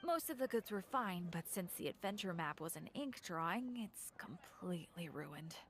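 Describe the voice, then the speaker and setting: A woman playing a wry, polite shopkeeper in an English anime game dub, speaking in a measured way. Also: lowish voice, lively intonation, a little hiss or hum. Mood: rueful.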